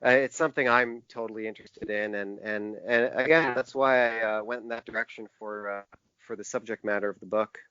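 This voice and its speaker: A man being interviewed as a guest, talking casually in a thoughtful, halting way and explaining things in detail. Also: fairly low pitch, fairly even intonation, pushed and bright, smooth, no room sound. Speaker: podcast guest, author and indie publisher. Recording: dull, dry lo-fi podcast audio.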